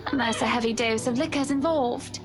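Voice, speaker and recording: A woman playing a high-voiced, gracious society wife. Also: a little fast, music in the background, lightly acted. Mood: coaxing, firm. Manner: polite, coaxing, teasing. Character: gracious, poised upper-class wife, gently teasing and firm. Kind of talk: visual novel character acting.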